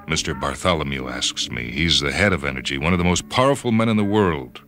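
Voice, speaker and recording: A man reading a short story for radio as a brooding first-person narrator, with dramatic pauses. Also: rumbling low, lively intonation, a bit bright. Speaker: story reader. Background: music and sound cues.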